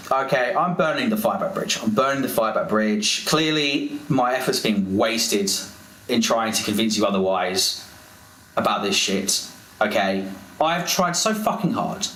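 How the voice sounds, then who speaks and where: A man's voice: mid-range voice, fairly bright tone. Exasperated, sweary man in an echoey room. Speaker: livestreamer. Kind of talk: heated livestream rant.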